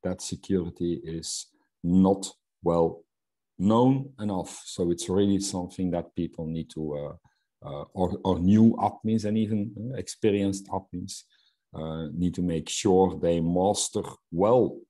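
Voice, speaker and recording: Deep-voiced Belgian man, halting speech. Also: warm tone. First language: Dutch. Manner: hesitant, many fillers and restarts. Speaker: Salesforce instructor. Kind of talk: webinar presentation.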